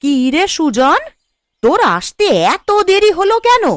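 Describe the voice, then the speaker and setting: A woman playing a sharp-tongued, scheming middle-aged village mother and aunt in a cartoon, bossy and sarcastic. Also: extremely high pitch, big pitch swings, measured pace, no echo, studio quality, heavily acted. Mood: angry, sarcastic. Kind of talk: Bengali cartoon voice acting.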